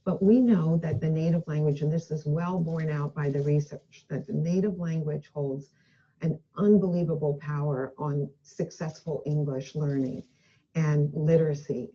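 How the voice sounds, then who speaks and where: A woman speaks as an education expert in an earnest, emphatic way. Her voice sits low and sounds dark and mellow. The recording is a muffled, lo-fi video clip. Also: a little hiss or hum.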